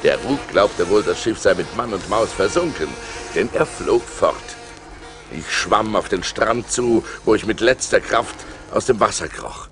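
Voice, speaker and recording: Storytelling seafarer: deep, creaky, theatrical radio play narration over clear music. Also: male voice, lively intonation, measured pace. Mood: grave. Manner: theatrical, swinging from hushed to urgent. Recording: vinyl record transfer with music behind.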